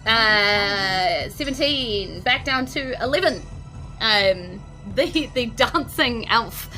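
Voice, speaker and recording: A woman runs a tabletop game in a casual, improvised style. Her voice is fairly high and very bright, animated as she narrates, with faint music underneath. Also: slow pace, poor audio. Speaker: game master.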